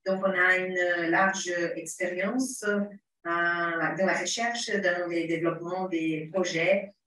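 A woman's voice: lowish voice, steady tone, takes it slowly, pushed and bright. Brazilian woman speaking careful, accented French. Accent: Brazilian Portuguese. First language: Portuguese. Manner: careful, hesitant, frequent fillers. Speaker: university professor presenting. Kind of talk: conference presentation. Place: home room, online. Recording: prerecorded video in echoey room, far from mic.

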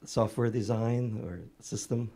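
Slow, deep-voiced man asking a question. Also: mellow, a little hiss or hum. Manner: slow, halting, technical. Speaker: audience member asking a question. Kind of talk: question from the floor. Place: conference room.

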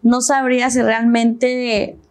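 A woman's voice: fairly high pitch, level tone, takes it slowly, darkish tone, clean tone. A young woman with a Mexican accent, a panel guest reading scripture and then talking it through. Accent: Mexican Spanish. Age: young adult. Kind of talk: Bible reading and discussion. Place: TV studio set. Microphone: lapel.

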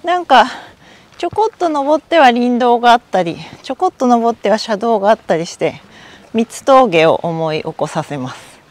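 Japanese woman hiking and talking to herself, lively bouncy pitch, kinda high voice, outdoors on a trail. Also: moderate pace. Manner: chatty, talks to herself while walking uphill. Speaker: hiking vlogger. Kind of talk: casual solo hiking vlog talk. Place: outdoors on a forest mountain trail.

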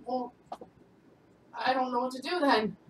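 A woman's voice: high voice, a little slow, echoey room, far from the mic, noisy background. A woman playing a video game with a friend, chatting casually, laughing often and getting flustered. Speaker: gaming video host. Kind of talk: casual gameplay chat.

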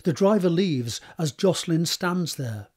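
A man narrates a drama script, setting scenes and describing action. His voice sits a little high for a man and has a husky, unpolished grain. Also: animated, unhurried, darkish tone. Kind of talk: scripted narration of stage directions.

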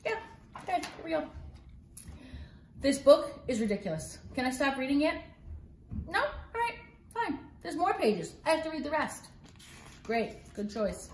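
A middle-aged woman reads a story to children in a high, very lively voice. She is playful and theatrical, slipping in mock-exasperated asides, and the room echoes around her as a phone records from a few feet away. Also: a little slow, pauses often. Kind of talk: animated read-aloud of a picture book for children. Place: living room at home, indoors. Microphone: phone camera a few feet away. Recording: roomy home phone-video sound.

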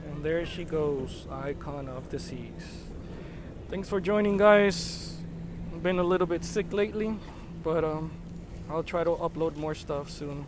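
A man chatting excitedly as he narrates his drone flight outdoors, marveling at what he sees. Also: a little high, unhurried, noisy background. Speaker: drone videographer. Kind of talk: casual live narration while flying a drone.

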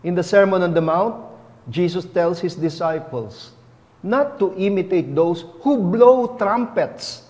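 A middle-aged man, a bishop, giving a homily at a lectern in a chapel, speaking deliberately on a lapel mic. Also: sits high, darkish tone, big-room sound. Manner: slow, deliberate, teaching tone with rising emphasis. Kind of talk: sermon.

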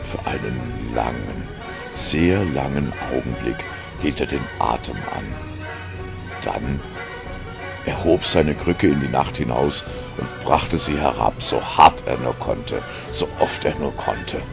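Very deep, gravelly man narrating. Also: takes it slowly, music in the background. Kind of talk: scripted dark fiction narration.

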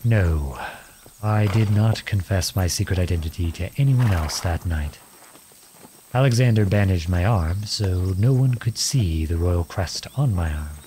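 A man playing a gentle, chivalrous young outlawed prince in a romantic fantasy audio roleplay, speaking in formal storybook phrases. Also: low voice, unhurried, slight background noise. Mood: guarded, confiding. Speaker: ASMR roleplay voice actor. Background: horse hooves clip-clopping.

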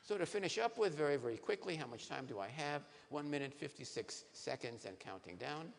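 A man in his sixties, a neurologist, giving a lively science lecture to patients on a conference stage, asking the audience questions. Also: on the high side, brisk, creaky. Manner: animated, asks the audience questions. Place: large conference hall stage. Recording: reverberant hall, faint noise.

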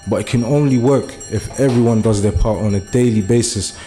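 A man's voice: lowish voice, a little fast, dark tone, soft background music. A young man from Germany giving an earnest public speech at a meeting, hesitant and full of fillers at first, then building to urgent appeals. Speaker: community speaker. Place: meeting room.

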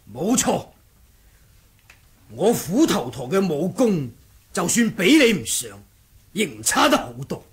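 An actor playing a cunning, composed martial monk in a 1980s radio drama, husky and deliberate in his sly bargaining. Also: high-pitched, sing-song, heavily acted. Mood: menacing, taunting. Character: cunning martial monk who feigned muteness, scheming and composed.

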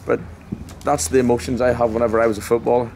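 A man's voice: mid-range voice, flat delivery, fast talker, a little mellow. A man in his forties, a football manager giving a blunt, passionate post-match interview outdoors at a ground at night. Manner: emphatic, repetitive, blunt and passionate. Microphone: handheld mic held close. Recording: faint outdoor noise.

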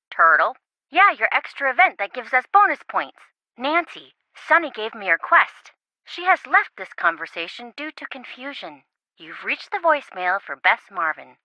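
An actress playing a bubbly, scatterbrained young best friend in a video game, chatty, playful and melodramatic, in band-limited audio. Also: female voice, fairly high pitch, no room sound, dull audio. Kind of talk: video game phone-call dialogue.

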